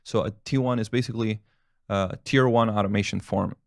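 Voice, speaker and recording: A man's voice: fairly low pitch, fairly even intonation, a little fast, vocal fry, no echo, clean studio sound. A man in his thirties, a family physician and native Spanish speaker, talking casually and rambling, with restarts and chuckles. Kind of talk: casual talk to camera, answering questions.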